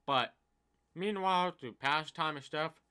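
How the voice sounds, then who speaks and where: A man's voice: fairly high pitch, measured pace, clear, resonant tone. Deaf young man venting about games. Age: 20s. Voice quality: deaf speaker's speech. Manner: complaining, rambling, uneven loudness. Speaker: gaming YouTuber. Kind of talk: casual commentary vlog.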